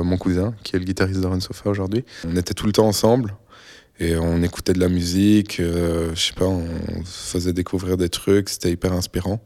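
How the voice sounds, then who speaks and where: A young man from Belgium with a Belgian French accent, a musician answering interview questions casually, relaxed and hesitant with many fillers. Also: sits low.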